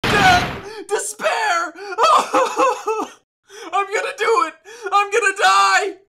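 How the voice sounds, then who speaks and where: A man's voice: very high-pitched, very slow, creaky, bright, forceful tone, raised voice, exaggerated voice. A man acting a melodramatic, hapless young defendant in a game fandub, whiny and wailing over the top. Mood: hysterical despair.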